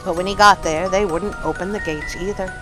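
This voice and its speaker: A woman storyteller and channel host with an expressive, dramatic delivery, reading scripted stories for children. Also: on the low side, fairly quick, music in the background.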